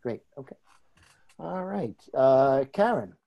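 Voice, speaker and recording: A man with a New York accent, a trainer speaking in a group discussion, pausing often with fillers. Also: medium pitch, animated, vocal fry, lo-fi sound. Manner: hesitant, many filler pauses. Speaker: empathy circle trainer.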